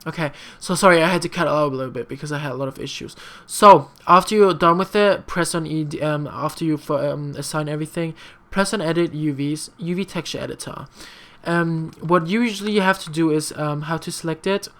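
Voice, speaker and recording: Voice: medium pitch, fairly quick, a bit bright. A young adult whose first language is not English, talking through a casual screen-recorded tutorial in a rambling, chatty way, with many fillers and frequent apologies. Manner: frank, slightly sassy asides. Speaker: tutorial maker.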